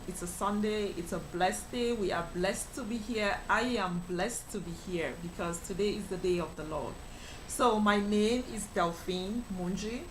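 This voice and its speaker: A woman's voice: medium pitch, fairly bright tone, low-quality recording. Woman from cameroon, earnest explainer, lots of fillers, faint background noise. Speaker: financial professional guest. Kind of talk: interview answers.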